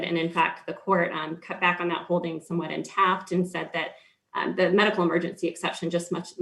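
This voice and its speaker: A man arguing for the state before an appeals court, formal and measured, with frequent ums and small restarts. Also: on the high side, creaky, a bit bright. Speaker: state's attorney. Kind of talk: appellate oral argument.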